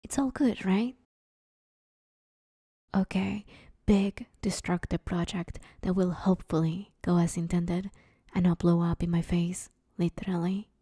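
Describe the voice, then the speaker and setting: A woman's voice: on the low side, steady tone, unhurried, warm tone, slightly rough, no room sound, studio quality. A woman playing a broke, sheepish but scheming villain in a conversational comedy audio roleplay, wheedling her neighbor in an awkward, hesitant way. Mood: pleading.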